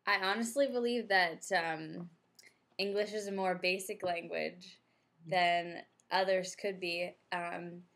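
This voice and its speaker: Flat-voiced young American woman. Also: lowish voice, slow pace, gravelly. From United States. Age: young adult. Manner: chatty, with frequent "like" and "um" fillers. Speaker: interviewee, former exchange student. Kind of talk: casual interview answers.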